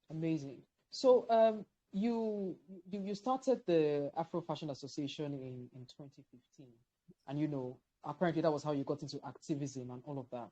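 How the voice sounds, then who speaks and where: A woman's voice: sits low, warm tone, dull audio. A woman hosting an interview over a video call, asking questions with frequent stammered restarts. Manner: repeats words, stammering starts. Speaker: interviewer, host.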